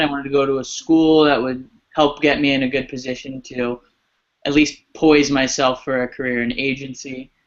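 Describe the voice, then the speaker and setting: Young man talking on a muffled video call. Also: mid-range voice. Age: 20s. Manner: relaxed, rambling with restarts. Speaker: alumni guest speaker. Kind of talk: casual video-call interview.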